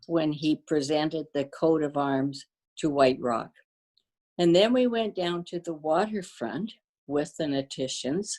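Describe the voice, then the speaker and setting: An older woman, born to a Danish-speaking family, reminiscing about her community in a casual interview, pausing often with fillers and restarts. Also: deep voice. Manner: warm storyteller, halting with fillers and restarts. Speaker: guest.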